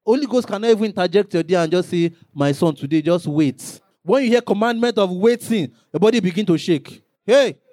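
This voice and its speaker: A man's voice: sits high, lively intonation, brisk, few pauses, a little mellow, dry recording, studio quality. A man, a pastor preaching a sermon, rising into emphatic bursts and acting out little quotes.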